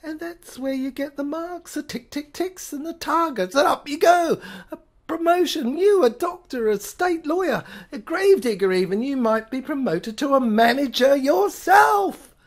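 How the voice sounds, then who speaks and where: A woman's voice: high voice, expressive, moderate pace, pitched above the performer's own voice. A woman playing a hectoring official in an audio play, ranting insistently in exclamations and repeated phrases. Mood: agitated, hectoring. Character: ranting, hectoring official explaining a hellish managerial system. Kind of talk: dramatic monologue in an audio play.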